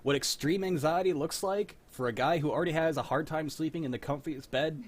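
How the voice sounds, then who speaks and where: A man's voice: on the high side, brisk. A man hosting a livestream, chatting in a rambling, candid, self-deprecating way over a video call. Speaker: livestream host. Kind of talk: casual group video chat.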